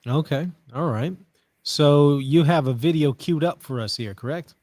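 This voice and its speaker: A man from Louisiana hosting a casual talk show, easygoing and quick to laugh. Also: a little high, a little mellow, dry recording. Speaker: podcast host. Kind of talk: casual chat.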